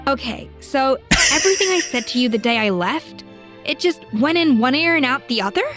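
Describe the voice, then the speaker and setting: A woman's voice: high-pitched, lively intonation, a little fast, a bit bright, soft background music. A woman playing a proud, blunt young master chef in a video game, with a commanding, scolding manner. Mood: curt, reassuring.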